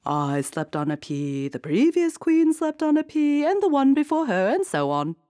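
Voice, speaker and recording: A stuffy, tradition-bound queen from a storybook, put on by a woman reading aloud. Her voice leaps high into squeals and swoops all over. The sound is close and dry. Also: wildly swooping pitch, normal speed, warm tone, no room sound. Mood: stubborn. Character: fussy set-in-her-ways old queen, shrill and gleeful.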